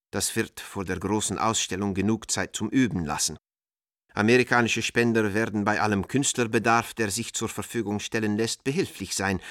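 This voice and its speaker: An actor playing an American art dealer reading out his letters in a radio drama, in a measured, formal way. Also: on the low side, fairly bright tone, dry recording, clean studio sound, natural voice. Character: American art dealer writing coded letters to an old friend.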